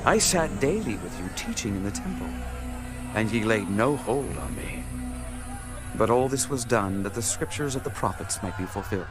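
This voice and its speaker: An actor playing a calm, solemn male teacher who speaks in measured tones, in a dramatized scripture reading with music behind. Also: on the low side.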